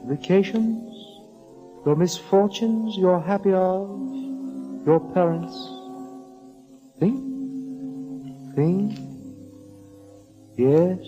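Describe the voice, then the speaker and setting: An actress playing a solemn, theatrical fortune-teller madame in 1940s radio style, with a slow, commanding delivery. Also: female voice, medium pitch, dull audio. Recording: old radio recording with music.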